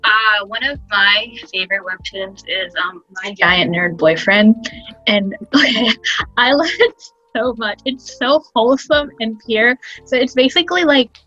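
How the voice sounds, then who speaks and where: A woman's voice: fairly high pitch, measured pace, pushed and bright, dull audio, soft background music. A woman co-hosting a casual podcast chat, gushing and enthusiastic, leaning heavily on "like" as she talks. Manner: gushing, enthusiastic, heavy use of "like". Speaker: podcast co-host.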